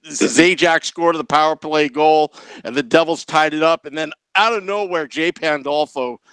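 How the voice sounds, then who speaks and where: A man's voice: fairly high pitch, normal speed, a bit bright, clear, resonant tone, no room sound. A man hosting a casual podcast interview, talking in an enthusiastic, rambling, fan-like way. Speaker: podcast host.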